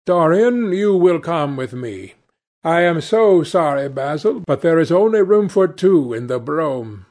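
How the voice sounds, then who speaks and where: Man performing a languid, cynical aristocrat with swooping pitch. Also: darkish tone, exaggerated voice. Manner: epigrammatic, nonchalant, pompous. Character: languid witty cynical male aristocrat, urbane and teasing. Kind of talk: audiobook character dialogue.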